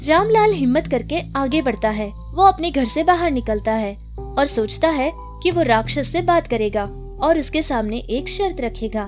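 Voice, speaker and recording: High-voiced woman narrating a cartoon story. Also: unhurried, faint music behind. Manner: steady storytelling.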